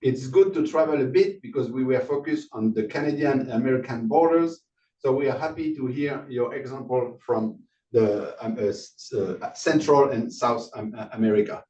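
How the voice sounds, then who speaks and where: A man with a French accent introducing the guest speaker at a conference session, halting and correcting himself as he goes. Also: medium pitch, a little mellow. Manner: halting, with frequent restarts. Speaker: session moderator. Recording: roomy, distant, dull sound with faint noise.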